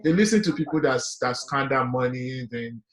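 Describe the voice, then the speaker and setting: Nigerian man, guest on a webinar call, mid pitch, earnest and motivational, loose and filler-heavy. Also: normal speed. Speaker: guest panelist. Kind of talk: casual webinar discussion.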